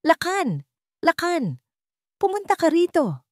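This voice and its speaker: A woman's voice: very high voice, huge pitch swings, slow pace, no room sound, studio quality, voice pushed up, exaggerated voice. A woman voicing a cruel, scheming stepmother in an audio story, cold, accusing and commanding. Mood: furious.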